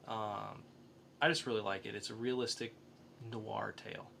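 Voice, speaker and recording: A man's voice: on the low side, fairly even intonation, moderate pace, creaky, pushed and bright, a little hiss or hum. A man hosting a podcast, chatting casually as if reviewing for friends. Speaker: podcast host. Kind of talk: casual comic book review chat.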